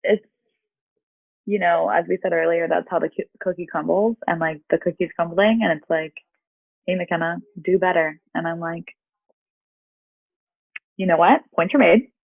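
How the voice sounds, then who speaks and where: A woman's voice: fairly low pitch, steady tone, normal speed, vocal fry, long pauses, dry recording, phone-quality sound. A young woman, a guest at a tarot reading, chatting casually in an informal, reflective and self-deprecating way over thin call audio. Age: young adult. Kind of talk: casual chat.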